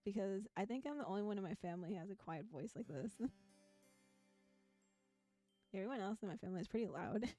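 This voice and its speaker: Young woman, soft quiet clear voice, warm and mellow, laughs a lot, faint music underneath. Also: mid-range voice, lively intonation, brisk, long pauses. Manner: giggly, laughs often. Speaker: streamer. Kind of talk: casual chat and reading a visual novel aloud.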